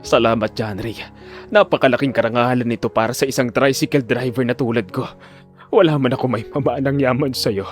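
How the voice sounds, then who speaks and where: A man's voice: medium pitch, brisk, soft background music, close to an everyday voice. A man voicing a proud, humble working-class father in an audio drama, heartfelt and earnest. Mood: proud, moved.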